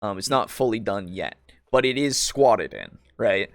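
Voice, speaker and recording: A man co-hosting a podcast, talking casually about tabletop roleplaying in a halting, rambling way with frequent swearing. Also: lowish voice, lively intonation, no echo, studio quality. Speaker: podcast co-host.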